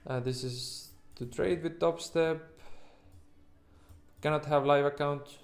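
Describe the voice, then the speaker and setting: Halting Estonian-accented man, livestream host. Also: fairly high pitch, steady tone. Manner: halting, rambling, informal, occasional swearing. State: sleep-deprived. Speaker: livestream host, futures trader. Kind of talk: casual livestream chat with viewers.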